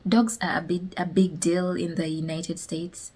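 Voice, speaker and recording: A young woman from Uganda, chatting casually and telling animated stories as a vlogger, with a husky voice. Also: fairly low pitch, unhurried. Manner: animated storytelling with laughs. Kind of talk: casual chat.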